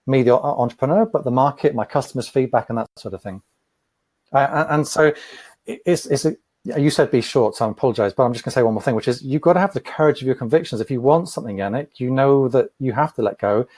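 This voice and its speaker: A man answering interview questions as an entrepreneur, thoughtful and measured, often repeating a word as he starts. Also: medium pitch, a little mellow, no echo. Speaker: podcast guest, entrepreneur.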